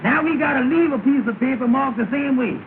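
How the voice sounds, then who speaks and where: Very high-pitched, nervous naive sidekick. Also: male voice, a little fast. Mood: flustered. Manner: folksy nonstandard grammar, plaintive. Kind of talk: 1930s film comedy dialect acting. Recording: noisy, tinny 1930 film soundtrack.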